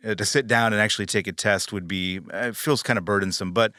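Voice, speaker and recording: A man's voice: on the low side, steady tone, fast talker, pushed and bright, no room sound, clean studio sound. A man hosting an interview podcast, who chats casually, restates his guest's points and uses a lot of filler words. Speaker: podcast host.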